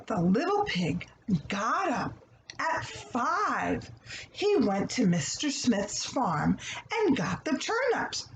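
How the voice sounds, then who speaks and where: A woman reading a children's story aloud in a deliberate, expressive manner, pausing between short phrases. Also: medium pitch. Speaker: storybook reader. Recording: roomy echo, light background noise.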